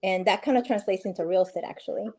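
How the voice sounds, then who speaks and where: A woman's voice: medium pitch, fast, dark tone. A middle-aged woman from Peru, a guest on a video call interview, talking in a friendly way with many fillers and restarts. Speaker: guest, real estate agent. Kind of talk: casual interview conversation.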